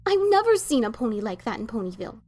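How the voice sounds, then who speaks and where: A woman's voice: fairly high pitch, big pitch swings, fast, darkish tone. A woman playing an anxious, motherly cartoon pony wife in a fan audio drama, fretful and stubborn. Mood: anxious, insistent.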